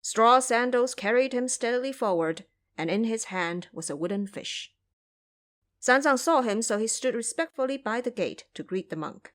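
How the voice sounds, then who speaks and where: A woman narrating a scripted audio drama as a storyteller, with careful, expressive phrasing. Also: fairly high pitch, normal speed.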